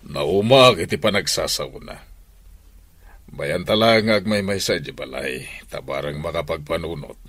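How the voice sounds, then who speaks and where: A man's voice: a bass voice, moderate pace, a bit bright, no room sound, full character voice. An actor with an Ilocano accent playing a weary, fed-up middle-aged father in a radio drama.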